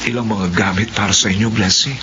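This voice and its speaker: A man's voice: fairly low pitch, fairly even intonation, fast talker, natural voice. An actor in a Filipino radio drama playing a kind, helpful young man, earnest, respectful and reassuring. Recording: muffled radio audio over loud noise.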